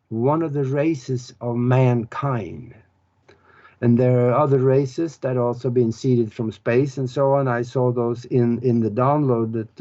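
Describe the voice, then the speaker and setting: A man from Norway. He explains spiritual ideas in an earnest, halting way, pausing often as he searches for words. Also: medium pitch, a little mellow. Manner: unhurried, earnest, halting with pauses. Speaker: author and spiritual teacher. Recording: dull lo-fi recording.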